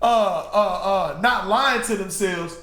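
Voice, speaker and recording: Loud high-voiced man, stuffed up. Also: slow, reverberant. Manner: loud, animated, swearing freely, laughs often. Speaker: podcast co-host. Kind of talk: casual chat.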